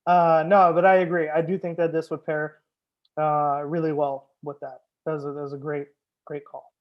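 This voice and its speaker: A man's voice: on the high side, level tone, moderate pace, dull audio. A man hosting a live wine tasting as a sommelier, chatting casually with viewers, joking and swearing now and then. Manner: chats with viewers, answers comments. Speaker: sommelier host.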